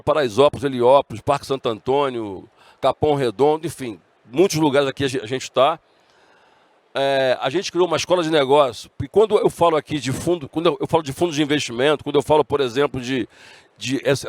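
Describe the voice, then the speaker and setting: A man's voice: a little high, no room sound. A middle-aged man with a Brazilian Portuguese accent giving a conference talk, earnest and often restarting his words. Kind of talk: conference speech. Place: conference stage.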